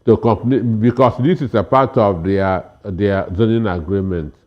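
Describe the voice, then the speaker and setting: A man with a Nigerian accent giving political commentary haltingly, with stammers and many hesitations. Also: lowish voice, lo-fi sound. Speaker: political analyst panel guest.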